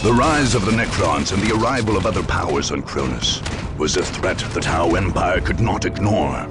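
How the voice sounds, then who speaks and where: A man giving dramatic scripted narration for a war game cutscene, grave, portentous and theatrical in delivery. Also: low-pitched. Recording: game narration over loud music and battle sounds. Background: loud dramatic music, artillery and vehicle sounds.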